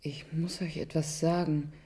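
Grieving shaken woman in a radio play, dry close sound, hushed halting storytelling. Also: low-pitched, level tone, slightly rough, no echo, full character voice. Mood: shaken, urgent. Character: Marga, grieving, shaken woman narrating her memories. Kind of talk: radio drama acting, first-person narration.

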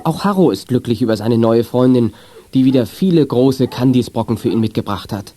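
Dark, mellow male storyteller. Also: mid-range voice, fairly quick, a little hiss or hum. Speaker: narrator. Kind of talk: scripted narration of a children's audio drama.

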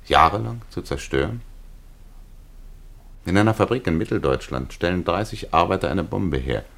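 An actor playing a reflective, embittered burglar and war veteran in a 1950s radio play, soft-spoken, ironic and philosophising. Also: male voice, low-pitched, creaky, exaggerated voice.

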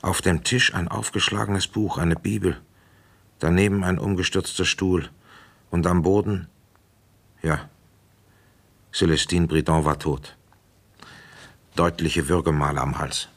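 An actor playing a weary, dry police inspector in a radio crime play, measured and matter-of-fact as he narrates and questions. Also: sits low, fairly even intonation, gravelly, slightly rough, exaggerated voice. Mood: measured, sober.

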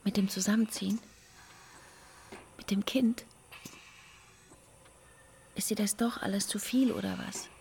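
An actress playing a worried, teasing woman confronting her partner, in hushed, intimate radio play dialogue. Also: mid-range voice, steady tone, unhurried, creaky, long pauses. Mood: worried, probing.

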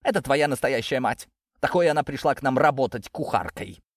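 A man's voice: medium pitch, animated, brisk, no room sound, studio quality, voice pushed up. A man voicing a furious, spiteful middle-aged wife who shrieks and snaps with contempt in an audiobook drama. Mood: curt, scornful.

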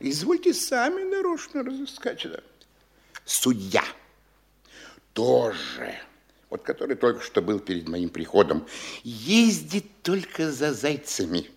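A fawning, sly telltale of an official for a classic radio play, always ready to gossip. His voice sings up and down wildly, and he spins out his words slowly and sweetly. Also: medium pitch, wildly swooping pitch, slightly rough, full character voice. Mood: tattling.

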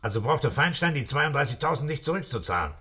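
An actor playing a terse, shrewd, commanding police inspector in a 1960s German radio play. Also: male voice, a little high, big pitch swings, fast, bright, forceful tone, slightly rough, full character voice. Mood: businesslike, probing. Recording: noisy old radio play recording.

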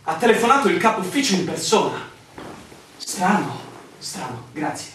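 Echoey, fussy village official. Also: male voice, sits high, sing-song, normal speed. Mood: embarrassed. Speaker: stage and radio actor. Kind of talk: theatrical radio drama dialogue. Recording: echoey room, distant mic, slight noise.

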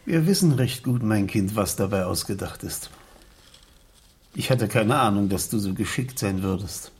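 An actor playing an agitated, protective uncle in a radio crime drama, emphatic, respectable and indignant. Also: male voice, fairly low pitch, moderate pace. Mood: gentle, grave. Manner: excitable, emphatic, swooping. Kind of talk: 1970s German radio crime drama acting.

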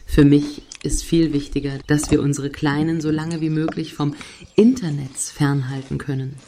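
An actress playing a prim, self-righteous former therapist living on a commune in a satirical radio play. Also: female voice, deep voice, steady tone, moderate pace, mellow, a little hiss or hum. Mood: smug, content. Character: smug eco-commune woman, former addiction therapist.

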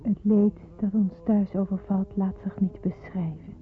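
A woman's voice: medium pitch, flat delivery, unhurried, lightly acted. A woman playing an earnest sixteen-year-old girl who tells of wartime grief in a radio drama, solemn and emotive. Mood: grieving, subdued. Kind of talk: radio drama narration and acting. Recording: muffled narrow-band old radio recording.

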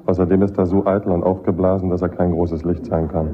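A man's voice: low-pitched, flat delivery, quick pace, muffled recording, crowd noise, lightly acted. A man playing a shrewd, frank lieutenant assisting the defence in a German radio play, speaking with dry candour. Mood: dry, candid.

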